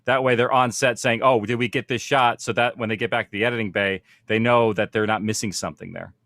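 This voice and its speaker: A man's voice: fairly low pitch, fairly even intonation, quick pace, fairly bright tone, no room sound, studio quality. A man speaking informally as a panel host, explaining things in a conversational way. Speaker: panel host, audio production producer.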